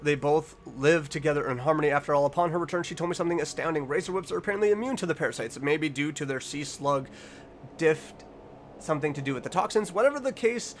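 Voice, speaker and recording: A man's voice: on the high side, fairly bright tone. A man hosting a reaction video, reading text aloud and commenting casually, sometimes stumbling over words and correcting himself. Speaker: online reaction video host.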